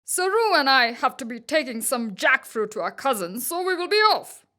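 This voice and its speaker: A woman voicing a friendly, breezy male stork with chirpy, drawn-out exclamations in a children's storybook. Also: sits high, sing-song, pushed and bright, full character voice. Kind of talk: children's storybook character acting.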